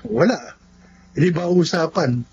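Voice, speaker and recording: A man's voice: on the high side, a little slow, darkish tone, a little hiss or hum. A man with a Filipino accent acting an earnest, loyal young best friend in a radio drama. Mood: cheerful, friendly. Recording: dull lo-fi radio, faint music behind.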